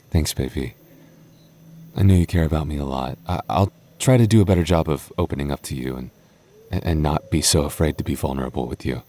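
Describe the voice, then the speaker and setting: Man acting a deep-voiced, hesitant young boyfriend. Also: brisk, pauses often, slight background noise. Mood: tender. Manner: hesitant, stammering, soft-spoken intimate delivery. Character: young boyfriend, nervous but affectionate, on a haunted adventure. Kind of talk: boyfriend roleplay ASMR audio drama.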